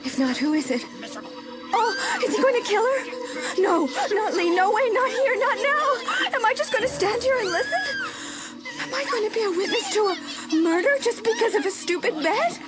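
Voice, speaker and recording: High, dramatic teenage girl in a thriller. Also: huge pitch swings, normal speed, loud music behind the voice, full character voice. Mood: panicked. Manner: breathless, dramatic, exclaiming. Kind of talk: dramatised audiobook acting.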